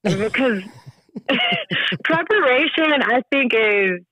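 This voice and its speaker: High-voiced American woman, laughing often. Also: very slow, breathy edge, no echo, poor audio. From Georgia, US. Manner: animated, laughs often, many fillers. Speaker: guest opera singer. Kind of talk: casual interview chat.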